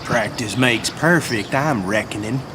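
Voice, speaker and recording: A man's voice: mid-range voice, a little slow, background noise, lightly acted. A man voicing a dim-witted, easygoing cowboy henchman in a video game, with a folksy Southern US drawl. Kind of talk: video game cutscene voice acting. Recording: music behind the voice. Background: game music.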